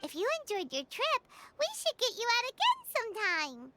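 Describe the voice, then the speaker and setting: Squeaky, chirpy little fairy companion. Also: female voice, big pitch swings, normal speed, very noisy. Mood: cheerful. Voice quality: squeaky, childlike. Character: small childlike female fairy companion, chirpy and earnest. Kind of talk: video game voice acting. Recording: game audio with prominent music underneath.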